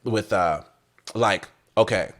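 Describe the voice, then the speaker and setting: Male podcast guest, low register, loose slangy delivery, circles back over phrases, curses freely. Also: takes it slowly. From Salt Lake City area. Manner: rambling, repetitive, slangy, swearing freely. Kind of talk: casual conversation.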